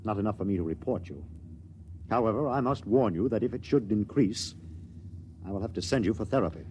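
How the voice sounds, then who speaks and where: A man's voice: lowish voice, brisk, mellow, lightly acted. Man playing a rigid young superior. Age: young adult. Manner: stiff, dogmatic, dryly commanding. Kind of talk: 1950s radio acting. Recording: faint hiss, 1950s radio transcription.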